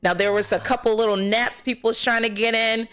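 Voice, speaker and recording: A woman's voice: fairly high pitch, steady tone, a little fast, a bit bright, clean tone. An American woman speaking casually and expressively as an actress answering interview questions, often posing questions to herself as she explains. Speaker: film actress being interviewed.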